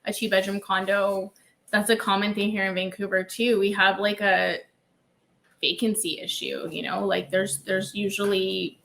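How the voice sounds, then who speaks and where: Bright-voiced woman chatting casually. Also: medium pitch, little pitch movement, moderate pace, vocal fry, slight background noise. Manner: chatty, filler-heavy. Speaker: realtor co-host. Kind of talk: casual reaction chat.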